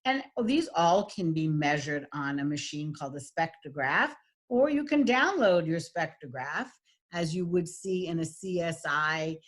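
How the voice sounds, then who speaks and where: Woman lecturer, husky voice, low pitch, slow unhurried delivery, middle-aged. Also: expressive. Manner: demonstrates voice types, lots of fillers. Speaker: voice and speech teacher. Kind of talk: recorded lecture.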